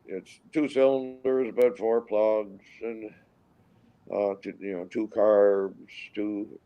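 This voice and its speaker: A man in his sixties from Minnesota, a guest pilot telling stories in a rambling, halting way with many restarts. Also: lowish voice, fairly even intonation, clear, resonant tone, dull audio. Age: 60s. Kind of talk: casual storytelling interview.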